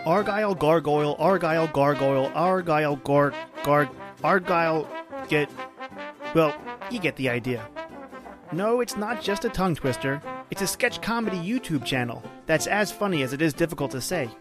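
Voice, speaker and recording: A woman's voice: sits low, expressive, moderate pace. A woman reading a comedic podcast ad, playful, self-deprecating and tongue-tied. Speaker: sponsor announcer. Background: music with brass and guitar.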